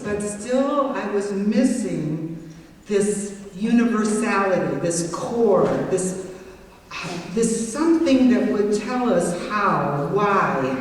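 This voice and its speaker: Middle-aged woman, judge at banquet podium, slow unhurried telling, boomy hall, distant mic. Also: slight background noise. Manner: warm storytelling with self-deprecating humor. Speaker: judge giving a tribute speech. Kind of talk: banquet tribute speech. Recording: echoey hall recorded from afar.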